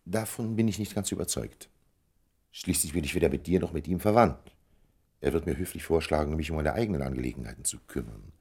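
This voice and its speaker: A man playing a shrewd older gentleman in a German radio play, speaking in a dry, measured, gently ironic way. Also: a bass voice, creaky, breathy edge, heavily acted. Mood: dry, reserved.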